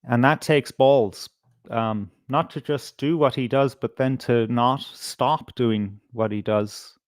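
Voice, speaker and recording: A man's voice: mid-range voice, slow, dry recording, studio quality. A man hosting a live stream, talking casually in a rambling, sweary, unscripted way. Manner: rambling, sweary, easily distracted. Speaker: host of a live stream show.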